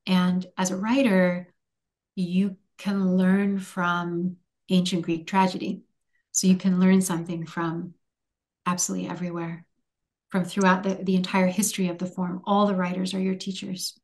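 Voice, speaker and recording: A woman in her forties whose first language is Hungarian, answering interview questions thoughtfully over a video call from a room at home, with many pauses and restarts. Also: on the low side, flat delivery. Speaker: author guest.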